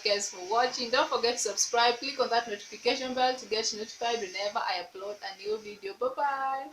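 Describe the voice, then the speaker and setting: A woman's voice: fairly high pitch, fairly bright tone, a little hiss or hum. A young Kenyan woman with a Luo accent, talking straight to camera in a casual vlog and teasing the viewer with repeated rhetorical questions. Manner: playful, repetitive rhetorical calls to the viewer. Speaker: vlogger. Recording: echoey room.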